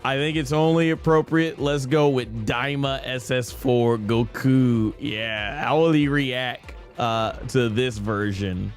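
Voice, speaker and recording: A man's voice: medium pitch, slow, few pauses. Casual male game streamer, rambling. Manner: rambling, thinking aloud. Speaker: streamer, host. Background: faint game music.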